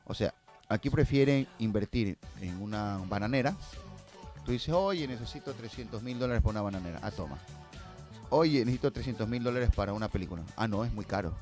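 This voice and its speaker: An Ecuadorian man speaks in a fairly low voice as a guest in an interview. His way of talking is informal and slangy, the talk of a filmmaker in conversation. Music is clearly audible behind him. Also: expressive, brisk.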